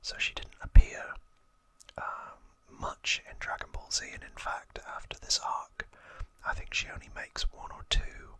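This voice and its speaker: Slow, hushed ASMR whisperer. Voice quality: breathy unvoiced whisper. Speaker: ASMR video host. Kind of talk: ASMR whispered trivia narration.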